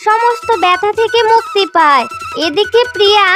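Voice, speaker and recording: A woman's voice: very high-pitched, normal speed. A woman narrating a scripted children's cartoon story as the storyteller. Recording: music behind the voice.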